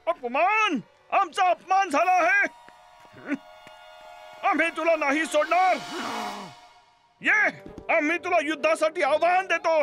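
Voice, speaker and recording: Puffed-up hot-tempered cartoon king, high voice, big royal speeches, music under it. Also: slow pace, long pauses, bright, forceful tone, full character voice. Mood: outraged. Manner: grandiose, declamatory royal speech. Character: pompous, hot-tempered man who believes he is a king. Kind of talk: exaggerated cartoon dub acting.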